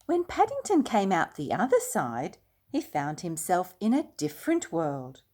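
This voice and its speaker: Slow, animated older woman reading storytime. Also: lowish voice. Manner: expressive, prompting listeners with simple questions. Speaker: children's story reader. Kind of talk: storytime read-aloud with asides to young listeners.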